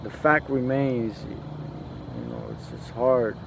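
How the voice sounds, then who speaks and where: A man from a post-Soviet country speaking in a casual, reflective monologue, halting and repeating himself, with lots of slangy filler. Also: medium pitch, huge pitch swings, creaky, background noise. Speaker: podcast host.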